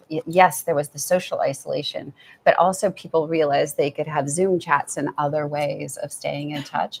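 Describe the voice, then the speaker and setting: Soft-spoken woman with a gravelly low voice. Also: slight background noise. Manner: soft-spoken, thoughtful, many restarts. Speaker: author guest. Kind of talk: live author talk. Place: event venue with audience.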